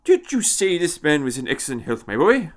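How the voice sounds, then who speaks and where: A man voicing an elderly, eccentric time traveller, haughty and impish, with a high, tremulous, querulous voice and a fussy manner. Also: very animated, fairly quick. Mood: pensive. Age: older. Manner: haughty, fussy, hesitant turns of phrase.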